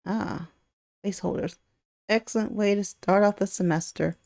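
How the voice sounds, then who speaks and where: A woman's voice: mid-range voice, steady tone, moderate pace, vocal fry, a little mellow, dry recording, close to an everyday voice. A woman voicing a stern male schoolteacher in a visual novel, dry, curt and unimpressed. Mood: sarcastic, curt.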